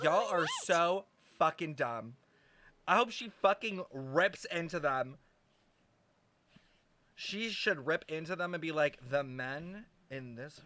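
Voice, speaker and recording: High, animated young male game streamer. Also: slight background noise. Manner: gossipy, expressive, sweary. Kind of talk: casual reaction commentary.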